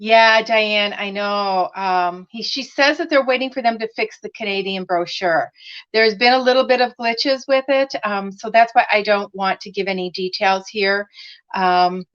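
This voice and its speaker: A woman hosting a craft live stream, chatting with viewers by name and using many fillers, her voice slightly raspy as she recovers from laryngitis. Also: mid-range voice, moderate pace, fairly bright tone. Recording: dull lo-fi live stream audio.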